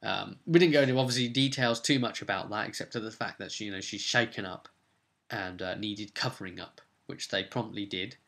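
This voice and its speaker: Guy doing a casual game master recap, bright punchy voice, rambles off on asides. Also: fairly low pitch, brisk, vocal fry. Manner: rambling, animated asides to viewers. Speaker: game master recapping his tabletop campaign. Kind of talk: casual video recap, storytelling.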